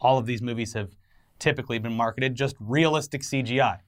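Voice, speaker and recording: A man's voice: mid-range voice, fairly quick. A man co-hosting an entertainment news show with casual, sarcastic, irreverent commentary and some swearing.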